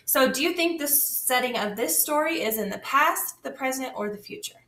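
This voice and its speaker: Woman teacher, patient, asks lots of guiding questions, bright tone, roomy echo. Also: high voice, lively intonation, normal speed. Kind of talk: scripted classroom lesson. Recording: echoey room, distant mic.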